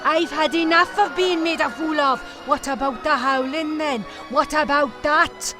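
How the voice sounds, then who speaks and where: Casting: actress as superstitious old-fashioned landlady, high and slow, folksy storyteller, music behind. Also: fairly even intonation. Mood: indignant. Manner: quaint folksy phrasing, dramatic storytelling. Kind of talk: fan audio drama acting.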